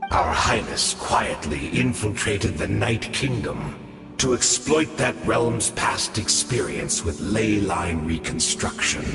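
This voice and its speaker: A man voicing a menacing, gloating herald of an abyssal order in a video game, grandiose, deliberate and condescending. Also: lowish voice, gravelly, exaggerated voice. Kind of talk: theatrical video game villain acting. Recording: echoing hall reverb, music behind.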